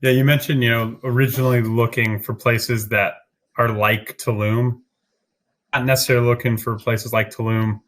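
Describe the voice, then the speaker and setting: A man's voice: medium pitch, flat delivery, moderate pace, a bit bright. A man hosting a conversational podcast interview. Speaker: podcast host.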